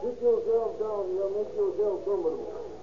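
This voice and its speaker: A man's voice: sits high, moderate pace, dull audio, music in the background, natural voice. An actor playing a young male robber, bullying, boastful and colloquial, in 1930s radio drama style. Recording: noisy, creaky-sounding old radio recording.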